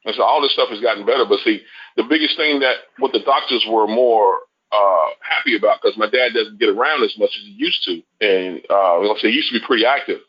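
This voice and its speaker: A man's voice: on the low side, fast talker, husky. A man giving a casual customer testimonial as a call guest, folksy and colloquial, over a lo-fi phone line.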